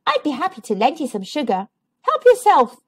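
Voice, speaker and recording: Storybook porcupine played by a woman: female, kind and excitable, high voice, very lively, eager sing-song. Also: fairly quick. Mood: generous, helpful.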